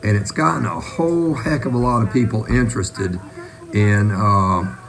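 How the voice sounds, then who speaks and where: Male net controller, lower register, casual on-air hosting. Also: moderate pace, soft background music. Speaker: ham radio net controller.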